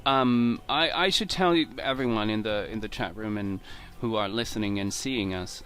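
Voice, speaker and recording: A man's voice: fairly low pitch, moderate pace, fairly bright tone. A man from Portugal hosts a casual interview, speaking hesitantly, stumbling over his words and often laughing. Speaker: host.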